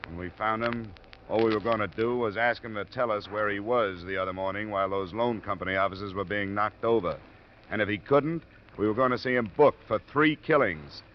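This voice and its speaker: An American actor playing a tough, shrewd insurance investigator in a 1950s radio drama, with clipped, hard-boiled delivery. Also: male voice, fairly low pitch, a little fast, slight background noise, close to an everyday voice. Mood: pressing. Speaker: lead actor and narrator. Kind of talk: 1950s radio detective acting. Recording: muffled old radio recording, music behind.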